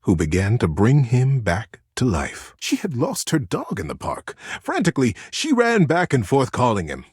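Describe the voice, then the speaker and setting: An actor voicing a gentle, earnest storyteller character from a game, narrating a bedtime tale with dramatic pauses. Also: male voice, medium pitch, wildly swooping pitch, breathy edge, no room sound, clean studio sound. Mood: melancholy. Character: gentle male teddy bear storyteller, tender and earnest.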